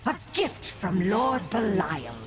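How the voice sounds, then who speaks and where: A woman's voice: medium pitch, very animated, slow pace. A woman voicing a haughty, fanatical cult witch, an imperious and theatrical villain in a fantasy video game. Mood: gloating menace. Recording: loud music behind the voice.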